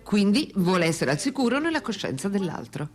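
An actress playing an attentive female interviewer in a radio drama, with deliberate, questioning delivery. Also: mid-range voice, sing-song, faint music behind, close to an everyday voice. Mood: earnest.

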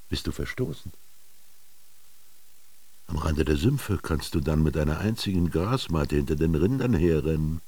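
A gravelly, very deep brooding master. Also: male voice, no echo, exaggerated voice. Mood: stern, threatening. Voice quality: gravelly, husky. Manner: declamatory, halting, with whispered emphasis. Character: commanding, brooding male master dictating his bitter text to a scribe. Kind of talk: German literary radio drama monologue.

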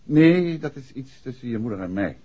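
An actor playing a shrewd, courteous gentleman detective with a Netherlands Dutch accent in a 1960s radio drama, polite but probing. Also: mid-range voice, big pitch swings, normal speed, husky. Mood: probing, direct. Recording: muffled old radio recording.

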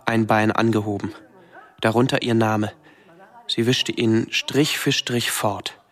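An actor playing a withdrawn, guilt-ridden young man, recounting his memories haltingly and introspectively in a radio drama monologue. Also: fairly low pitch, steady tone, fairly bright tone. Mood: haunted. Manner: slow, halting, introspective delivery in short phrases.

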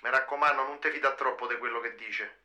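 A scheming young Roman in a radio drama, with a piercingly bright voice. His talk is slangy and offhand, in a strong Roman accent. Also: mid-range voice, steady tone, fast, roomy sound. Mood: casual, conniving. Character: scheming young Roman male friend, casual and sly.

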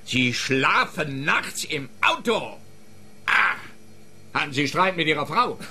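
An actor playing a brusque, sarcastic police investigator who talks in curt bursts. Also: male voice, on the high side, very animated, slow, bright, forceful tone, slightly rough, heavily acted.

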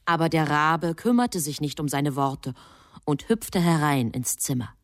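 A woman's voice: low-pitched, expressive, measured pace, no echo, studio quality. A woman performing as the grave, composed narrator of a literary radio drama. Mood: measured, mysterious. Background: music.

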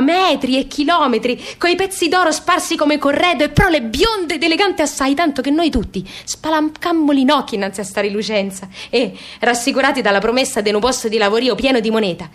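An actress voicing a pompous, unschooled emigrant with a southern Italian accent, reciting a grandiloquent letter full of mangled formal words in a radio play. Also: female voice, sits high, expressive, brisk. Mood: grand storytelling. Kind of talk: theatrical comic recitation.

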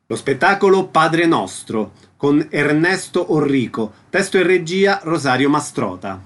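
A man's voice: on the high side, very animated, unhurried. A man hosting a radio programme, presenting items and interviewing a guest. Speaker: radio host.

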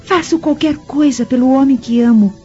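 A woman playing a lovesick young Roman daughter in a radio play, willful and impassioned. Her voice is high but softly rounded. It comes through slightly muffled. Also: normal speed, soft background music, close to an everyday voice. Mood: pleading. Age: young adult. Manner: impassioned, theatrical. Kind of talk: dramatic radio play acting. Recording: muffled radio drama recording.

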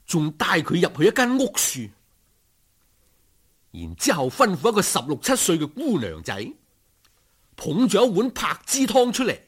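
A male storyteller narrates a folk tale in colloquial, dramatic fashion. His mid-range voice swings widely in pitch, and he takes his time over each phrase, with a husky grain.

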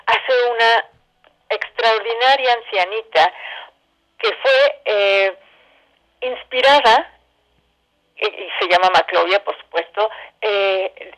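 A woman talking as a guest in a conversational interview, explaining things in a halting then flowing way. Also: mid-range voice, fairly bright tone, low-quality recording. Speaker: interview guest, theatre director.

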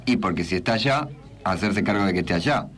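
A man's voice: low-pitched, animated, fast talker, a bit bright. A man with a Buenos Aires accent hosting a comic radio interview, playing along straight with short prompting questions. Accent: Argentine, Buenos Aires. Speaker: radio show host.

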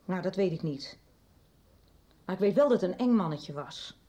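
A woman's voice: fairly low pitch, lively intonation, normal speed, warm tone. An actress in a 1990s radio play voicing a middle-aged woman who chattily confides her past, with little hesitations. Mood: confiding. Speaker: radio drama character. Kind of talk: 1990s radio drama acting.

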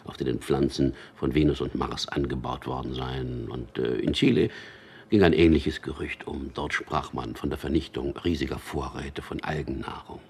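A man's voice: a bass voice, normal speed, creaky, darkish tone, slightly rough, exaggerated voice. An actor playing a cynical man in a radio drama, speaking with dry, intimate irony as he talks to his lover. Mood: dry, ironic. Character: Peter, wry cynical man telling mock news to lover. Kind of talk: German radio drama acting.

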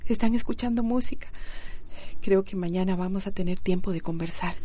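Soft-toned worried woman in a radio soap, acted. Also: medium pitch, fairly quick, natural voice. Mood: sad, weary. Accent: Costa Rican. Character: worried, saddened mother confiding in her friend. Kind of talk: radio soap opera acting.

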